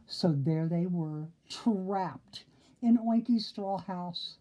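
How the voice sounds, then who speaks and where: A woman narrates a children's picture book in a mellow, fairly low voice. She takes her time and lets the phrases rise and fall expressively. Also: drawn-out words. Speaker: storybook reader.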